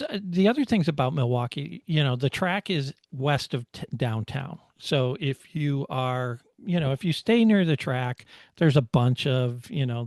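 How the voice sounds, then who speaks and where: Man, podcast host, casual chat, false starts, fry in the voice, dry recording. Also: medium pitch, darkish tone, no echo.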